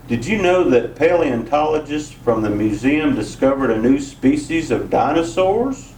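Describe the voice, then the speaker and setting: A man's voice: medium pitch, a little mellow. A man hosting a children's story time, reading aloud and chatting with his guest. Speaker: police officer, story time host. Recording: echoey, distant mic, faint noise.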